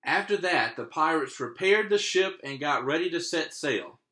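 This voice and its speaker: A man's voice: fairly high pitch, animated, normal speed, pushed and bright. A man reading a picture book aloud expressively as a teacher, stopping to put questions to young listeners.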